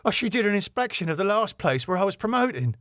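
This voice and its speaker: A man's voice: sits high, sing-song, fast, fairly bright tone, dry recording, studio quality, voice pushed up. A man voicing a cheeky, glib music promoter who wisecracks and placates with a flippant manner.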